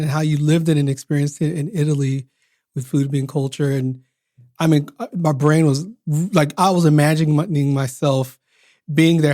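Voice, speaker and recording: A man's voice: a little high, mellow, dry recording, clean studio sound. A man hosting a podcast, reflecting on his guest's story in a casual, thoughtful way and repeating words as he goes. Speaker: podcast host.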